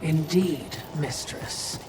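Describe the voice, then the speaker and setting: A woman's voice: deep voice, drawn-out words, background noise. An actress playing a sly, servile female spirit attendant in a video game, formal and insinuating in manner. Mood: deferential. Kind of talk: video game cutscene acting. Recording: echoing, distant-sounding voice over music.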